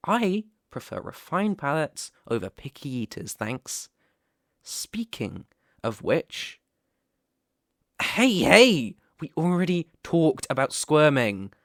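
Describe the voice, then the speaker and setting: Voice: medium pitch, fairly bright tone, no room sound. Sardonic vampire noble, close and dry performed by an actor. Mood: indignant. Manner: teasing, sardonic, foul-mouthed, with sighs and chuckles. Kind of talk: ASMR roleplay, one-sided character dialogue.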